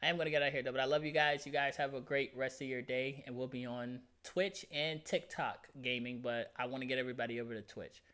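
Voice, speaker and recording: A man's voice: mid-range voice, steady tone, fast, hardly any pauses, a bit bright, clean tone. A man in his late twenties talking casually on a livestream, candid and emphatic, reading chat comments aloud. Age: 20s. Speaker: streamer.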